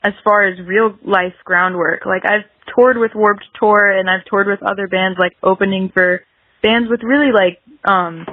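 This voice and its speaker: A woman's voice: mid-range voice, phone-quality sound. A woman chatting casually as a musician guest in an interview, rambling and peppering her talk with "like". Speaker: guest musician, singer-songwriter.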